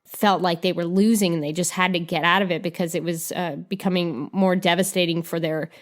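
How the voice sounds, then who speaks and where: A woman's voice: on the low side, fairly even intonation, brisk. A woman co-hosting a podcast, discussing film casually and somewhat hesitantly as she thinks aloud. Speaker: podcast co-host.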